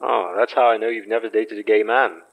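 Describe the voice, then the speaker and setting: A man playing a wry, protective boss of paranormal investigators in a horror audio drama, with a dry, measured delivery. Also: on the low side, creaky, narrow, tinny audio. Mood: dry, teasing.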